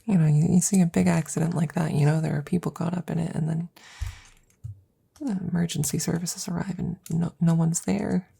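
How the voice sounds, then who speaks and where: Woman acting a breathy, whispery, worried scientist friend. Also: fairly low pitch, fairly even intonation, brisk, full character voice. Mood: nervous, sheepish. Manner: hesitant, rambling, gently reassuring. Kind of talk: ASMR audio roleplay, close and soft. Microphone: close microphone.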